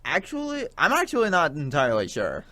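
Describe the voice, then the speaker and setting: Young adult man, higher-pitched, animated intonation, casual podcast co-host, reactive interjections. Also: brisk. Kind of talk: casual chat.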